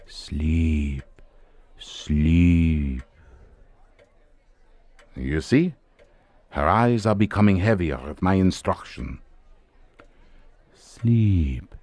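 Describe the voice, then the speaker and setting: An actor playing a self-assured French doctor and hypnotist in a radio drama, measured, theatrical and commanding. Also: male voice, deep voice, expressive, mellow, no room sound, full character voice. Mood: commanding, hypnotic.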